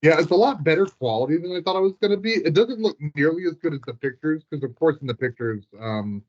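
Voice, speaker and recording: A man's voice: on the high side, lively intonation, fast, darkish tone. Rambling casual guy on muffled audio. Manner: rambling, informal, heavy use of "like". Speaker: hobbyist tabletop game master. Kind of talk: casual chat.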